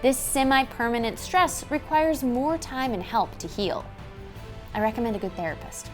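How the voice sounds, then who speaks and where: A woman in her thirties giving scripted instructional narration as a host, with animated, clearly enunciated presenter delivery. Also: a little high, normal speed. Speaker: tabletop game show host explaining rules. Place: dressed indoor studio set. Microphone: clip-on lapel mic. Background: background music.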